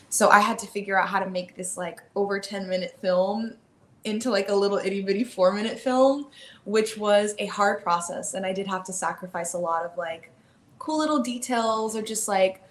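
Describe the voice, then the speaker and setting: A woman's voice: mid-range voice, fairly bright tone, slight background noise. Chatty young American woman, roomy sound. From Miami, Florida. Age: young adult. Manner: upbeat, chatty, many likes and ums. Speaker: animation filmmaker guest. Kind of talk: casual interview chat. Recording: roomy, echoey sound with faint ambience.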